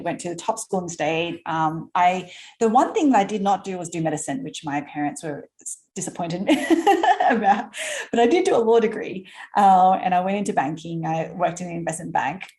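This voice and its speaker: An Australian woman in her thirties talks casually as a podcast guest, her voice sitting a little low. She laughs easily and fills her sentences with ums and restarts. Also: expressive, moderate pace, a little mellow. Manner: chatty, laughs often, many fillers and restarts. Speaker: guest entrepreneur. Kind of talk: casual conversational interview.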